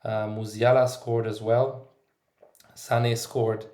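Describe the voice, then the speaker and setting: A man's voice: on the low side, flat delivery, slow pace, mellow. Man guest in a roomy-sounding studio. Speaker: podcast guest. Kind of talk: casual chat. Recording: roomy echo.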